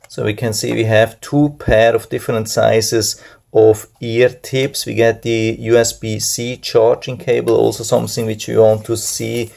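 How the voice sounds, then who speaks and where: A man with a low, mellow voice narrates a product review in casual. His pitch stays quite flat and there is a slight creak in the tone.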